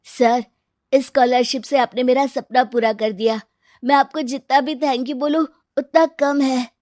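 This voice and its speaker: A woman's voice: high-pitched, steady tone, measured pace, darkish tone, dry recording, clean studio sound, voice pushed up. A woman voicing an earnest, hardworking poor young boy in a narrated story. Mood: grateful. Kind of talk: storybook voice acting.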